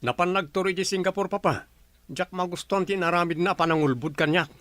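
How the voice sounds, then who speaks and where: A man's voice: sits high, a little fast, fairly bright tone, dry recording. A man playing a respectful but stubborn, aggrieved adult son in a radio drama.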